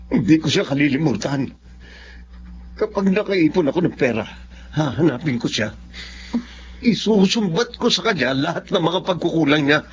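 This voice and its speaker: Guy acting upset young filipino son in a radio drama, high voice, muffled noisy audio. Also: animated, normal speed, long pauses, lightly acted. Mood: bitter, resentful. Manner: emotional, earnest. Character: angry, protective teenage son, a student.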